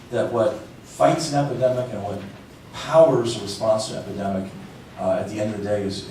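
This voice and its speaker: A man's voice: mid-range voice, darkish tone, slight background noise. Earnest man in an echoey hall. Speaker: panelist. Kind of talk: panel remarks. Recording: echoey hall, voice picked up from far off.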